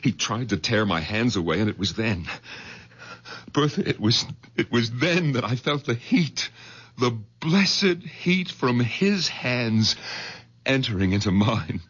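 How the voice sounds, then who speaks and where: An actor playing an agitated doctor who talks excitedly and repeats himself in a 1970s radio drama. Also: male voice, mid-range voice, a little slow, breathy edge. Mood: intense. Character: agitated middle-aged doctor, husband, overwrought.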